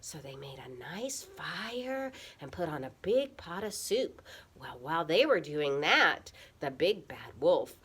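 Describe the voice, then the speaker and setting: Woman storyteller, children's puppet show, dramatic and expressive, widely swinging pitch, unhurried. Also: husky. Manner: dramatic, expressive, drops into hushed whispers.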